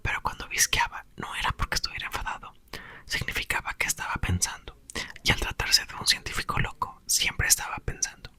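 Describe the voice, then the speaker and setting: A man's voice: fairly quick, sharp tone. Whispering man reading ASMR storybook. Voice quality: breathy, unvoiced whisper. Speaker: ASMR creator reading a book aloud.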